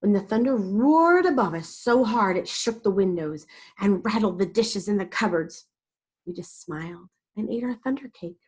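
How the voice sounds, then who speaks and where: A woman's voice: medium pitch, animated, moderate pace, darkish tone, breathy edge. A woman storyteller reading a picture book aloud expressively and dramatically for young children. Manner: dramatic, explains to young listeners.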